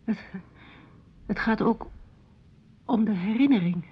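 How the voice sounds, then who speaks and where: Radio play wife: extremely breathy, husky voice, hesitant and easily flustered, muffled lo-fi sound. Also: female voice, mid-range voice, slow pace. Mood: wavering. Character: flustered, wavering married woman, drawn to her seducer. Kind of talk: Dutch radio drama acting. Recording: dull lo-fi radio drama recording.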